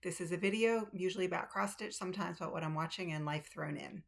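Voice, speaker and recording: A woman's voice: fairly low pitch, steady tone, quick pace, vocal fry. A woman chatting casually to camera about her cross stitch, rambling with ums and restarts, recorded on a tablet's built-in mic in a room at home. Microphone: tablet's built-in mic, no external microphone.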